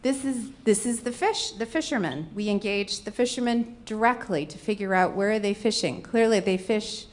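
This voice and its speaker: A woman's voice: medium pitch, normal speed, few pauses. Woman, middle-aged, Rhode Island accent, conference panelist, explaining slides, mid-range voice. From Rhode Island, USA. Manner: explanatory, walking through slides. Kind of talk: conference presentation.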